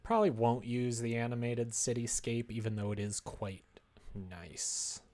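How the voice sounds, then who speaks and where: A man's voice: mid-range voice, a little slow, darkish tone. A man livestreaming casually, thinking aloud and muttering to himself with playful little asides as he browses. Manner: muttering to self, playful asides and sound effects. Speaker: livestreamer making a visual novel.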